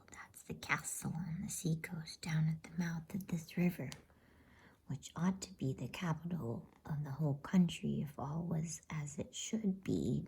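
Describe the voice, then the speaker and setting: A grave, knowing beaver from a bedtime storybook, acted out by a woman, speaks in a breathy whisper. He is wary and earnest, cautioning in low, unhurried tones. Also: darkish tone, full character voice. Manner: earnest, cautionary, old-fashioned storybook speech.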